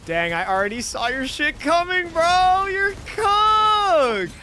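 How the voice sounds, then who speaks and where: A man giving casual, slangy and profane livestream reaction commentary on a game, with excitable delivery. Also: extremely high pitch, slow, slight background noise. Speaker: streamer.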